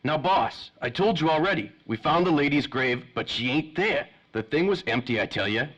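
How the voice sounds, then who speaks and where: A man plays an anxious gangster henchman in an old radio serial. His pitch jumps around and his voice is a bit husky, with streetwise, pleading delivery on a slightly dull recording. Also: expressive, moderate pace, a bit bright. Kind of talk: 1930s radio play acting.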